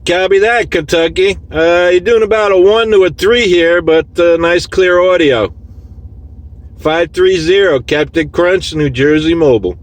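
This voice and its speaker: A slightly high-voiced man makes casual CB radio calls from a vehicle. He speaks in clipped operator lingo, with clear radio and vehicle noise around him. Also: measured pace. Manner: clipped radio lingo, repeats call sign. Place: inside a vehicle, mobile unit. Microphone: handheld phone recording in the vehicle. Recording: noisy phone recording of CB radio session.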